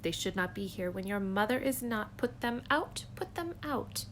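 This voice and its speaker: A woman's voice: medium pitch, sing-song, normal speed, fairly bright tone, slight background noise. A woman voicing a fussy, fretful pet fish in a storybook reading, scolding, anxious and insistent.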